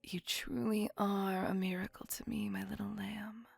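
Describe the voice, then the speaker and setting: A woman playing an ancient, possessive and tender female vampire in an intimate ASMR roleplay, breathy and whispery, with languid, formal, old-fashioned speech. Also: mid-range voice, little pitch movement, normal speed, no room sound, soft background music. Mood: doting, reassuring. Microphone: close microphone.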